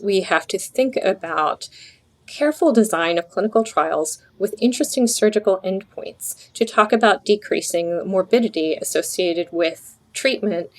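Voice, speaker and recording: A woman speaking as an expert interview guest, earnest and explanatory, using emphatic repetition. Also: mid-range voice. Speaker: physician researcher, guest expert.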